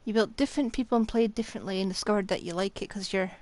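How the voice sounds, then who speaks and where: A woman's voice: mid-range voice, very fast, darkish tone. A woman livestreaming a game, chatting casually and musingly with her viewers. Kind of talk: casual chat with viewers over gameplay. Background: game music.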